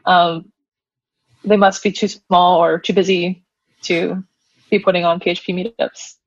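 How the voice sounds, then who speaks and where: A woman's voice: fairly low pitch, flat delivery, measured pace, no room sound. Woman guest on a dull, dry line. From Hawaii. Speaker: podcast guest, software engineer. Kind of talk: casual interview.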